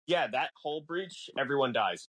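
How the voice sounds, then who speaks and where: A man talks through a film as a casual podcast co-host. His pitch moves around in a lively way while his explaining is halting and conversational. The recording is close and dry. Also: no echo.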